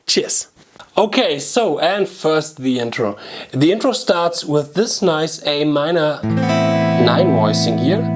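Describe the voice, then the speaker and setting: A man with a German accent hosting a guitar lesson, talking to viewers in an informal, chatty way with halting self-corrections. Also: a little high. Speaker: guitar teacher and video channel host. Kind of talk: casual video lesson talk to viewers.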